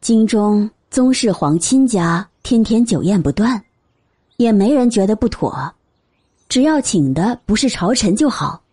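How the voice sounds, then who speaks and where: A woman's voice: mid-range voice, lively intonation, a little slow, dark tone, breathy edge. A woman narrating a novel as scripted storytelling for an audiobook.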